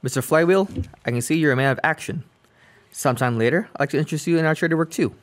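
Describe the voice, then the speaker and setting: A man's voice: on the high side, racing, natural voice. A man playing an earnest, pompous reformer in a radio play, speaking in stiff, formal speeches. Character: earnest pompous crime-fighting committee man.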